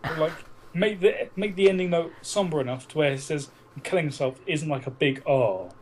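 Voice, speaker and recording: A man's voice: fairly high pitch, lively intonation, a little fast, darkish tone. A man co-hosting a podcast, chatting casually and bluntly about films, with frequent swearing. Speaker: podcast co-host. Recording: noisy amateur podcast recording.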